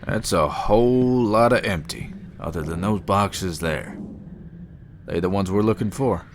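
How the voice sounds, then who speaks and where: A man's voice: deep voice, a little slow, background noise. A man playing a plain-spoken, brave vampire hunter in an audio drama, with a folksy, wry manner.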